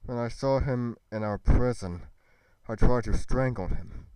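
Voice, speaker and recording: A man playing an earnest young fantasy adventurer in an amateur roleplay, with a solemn, deliberate delivery. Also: medium pitch, mellow, clear, resonant tone, no room sound, lightly acted, poor audio. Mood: anguished.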